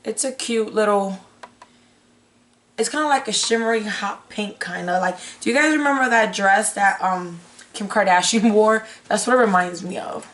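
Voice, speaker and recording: A young woman hosts a casual unboxing vlog in a hoarse, raspy voice at a medium pitch. She thinks aloud and breaks off her own sentences in a chatty way. Her voice sounds like it is giving out. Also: moderate pace, fairly bright tone. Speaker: beauty vlogger host. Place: small indoor room with curtain backdrop.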